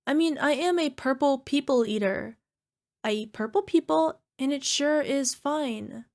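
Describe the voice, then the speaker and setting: A woman's voice: high voice, a little slow, a little mellow. A woman playing a small, sheepish cyclops monster girlfriend in an ASMR roleplay, wheedling and making cutesy, defensive excuses. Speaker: voice actor.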